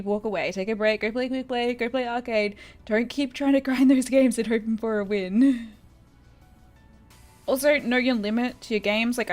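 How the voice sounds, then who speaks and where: A young woman with a slightly croaky voice from being sick, giving chatty, self-deprecating gaming advice in a casual vlog. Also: medium pitch. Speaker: gaming video creator.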